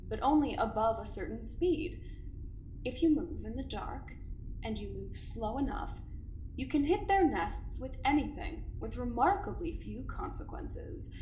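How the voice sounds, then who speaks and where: A woman voicing a cold, scheming female doctor who talks in a measured, clinical way with a quiet menace. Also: fairly high pitch, reverberant, a little hiss or hum. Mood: smug. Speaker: doctor running an investigation. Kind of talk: audio drama acting.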